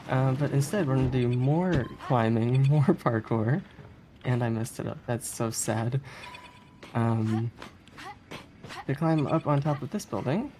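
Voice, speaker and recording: Clearly noisy stream audio with game ambience sits around a speedrunner talking through a game run. The speaker has a medium pitch and keeps the commentary casual and playful, with a hesitant, chuckly delivery. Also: mellow. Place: live streamed event, voice over gameplay audio.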